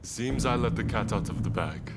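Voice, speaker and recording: A man's voice: on the low side, lively intonation, moderate pace. A man playing a genial, self-assured Japanese shogun in a video game cutscene, speaking in a courtly, composed way. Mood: amused, composed. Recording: loud music behind the voice.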